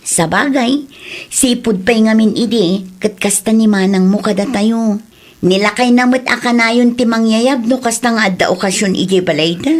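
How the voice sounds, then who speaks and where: A woman's voice: on the high side, moderate pace, close to an everyday voice. A woman with an Ilocano accent playing a hurt, resentful wife of a poor villager in a radio drama.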